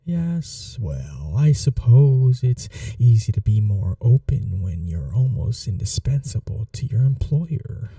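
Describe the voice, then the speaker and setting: A courteous, old-fashioned gentleman vampire played by a man with a very dark, mellow voice and a creaky edge. He speaks slowly and soothingly, with lively rises and falls, as in a caring, intimate ASMR roleplay. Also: medium pitch, dry recording, studio quality, far from the mic, heavily acted. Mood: dryly amused. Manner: unhurried, polite, soothing, slightly formal.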